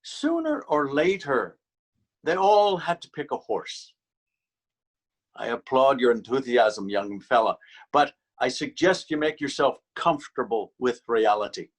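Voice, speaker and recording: A man's voice: mid-range voice, sing-song, unhurried. An actor in a readers theater drama playing a stern, weary policeman, admonishing and authoritative. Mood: lecturing. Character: stern, weary Irish male beat policeman, bullying. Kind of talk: readers theater stage acting.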